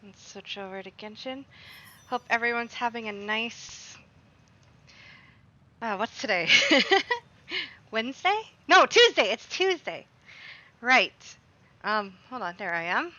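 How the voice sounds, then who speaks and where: A woman's voice: fairly high pitch, expressive, slow pace, long pauses, sharp tone, highs cut off. A woman hosting a livestream, chatting casually about the game she plays in a gushing, playfully dramatic way. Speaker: livestream host.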